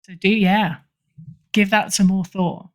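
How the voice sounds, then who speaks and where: A woman's voice: medium pitch, steady tone, unhurried. A woman speaking as an editor guest in a casual interview, emphatic and opinionated in a conversational way. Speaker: podcast guest, fiction editor.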